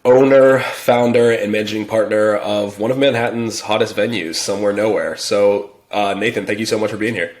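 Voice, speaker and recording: A man's voice: fairly low pitch, steady tone, normal speed, hardly any pauses. A man hosting an interview, upbeat and enthusiastic, with frequent fillers. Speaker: interview host. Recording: roomy echo.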